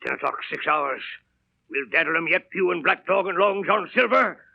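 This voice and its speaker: An actor playing an agitated, defiant old sea captain with a hoarse, gruff voice in a 1930s radio drama. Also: male voice, high-pitched, expressive, normal speed, muffled recording, full character voice.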